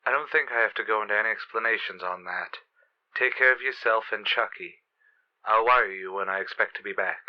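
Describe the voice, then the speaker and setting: A man's voice: lowish voice, a little fast, lo-fi sound, lightly acted. An actor playing a stern, formal professional man in a vintage radio soap, with a clipped, authoritative manner. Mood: brisk, cool.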